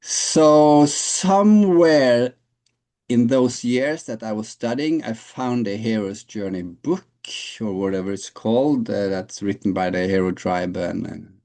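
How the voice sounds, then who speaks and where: Slow, gravelly-voiced man in his forties. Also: fairly low pitch, darkish tone. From Norway. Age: 40s. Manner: slow and hesitant, many fillers and restarts. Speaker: guest. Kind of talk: reflective interview answers.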